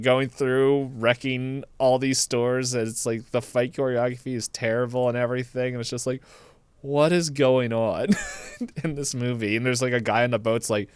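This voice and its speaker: A man's voice: medium pitch, smooth, no room sound. A man co-hosting a podcast, giving a casual, rambling, comedic movie recap and acting out the characters' lines in his own words. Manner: laughs through the telling.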